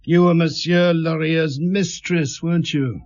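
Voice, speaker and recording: An actor playing a stern, shrewd police inspector who questions methodically and sardonically in a radio drama. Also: male voice, fairly high pitch, fairly even intonation, measured pace, a little mellow, highs cut off, lightly acted. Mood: cool, probing. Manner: pressing, methodical, sardonic interrogator.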